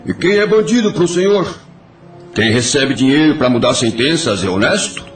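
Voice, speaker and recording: An actor playing an implacable criminal blackmailer in an audio drama, formal and insinuating, with quiet menace. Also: male voice, medium pitch, animated, normal speed, echoey room, faint music behind, close to an everyday voice. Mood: coolly menacing. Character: implacable male blackmailer, smooth-talking criminal uncle.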